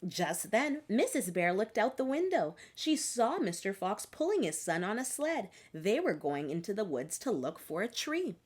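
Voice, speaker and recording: A woman reading a children's picture book aloud in an expressive, dramatic storytelling manner. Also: mid-range voice, moderate pace, few pauses, breathy edge. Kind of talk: scripted storybook narration.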